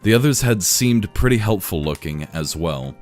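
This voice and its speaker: A man reading a fan story aloud in a dry, deadpan narrating manner. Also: fairly low pitch, normal speed, soft background music. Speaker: reader.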